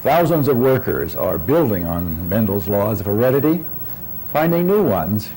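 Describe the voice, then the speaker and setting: A man's voice: lowish voice, very animated, moderate pace, warm tone, slight background noise, low-quality recording. A man presenting an educational science film in scripted documentary narration.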